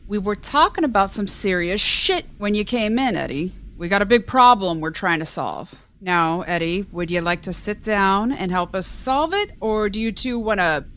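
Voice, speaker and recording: A woman's voice: mid-range voice, animated, normal speed, few pauses, fairly bright tone, natural voice. A woman as an authoritative crime boss. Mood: exasperated. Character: gruff, commanding crime boss and exasperated parent. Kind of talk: amateur audio drama acting.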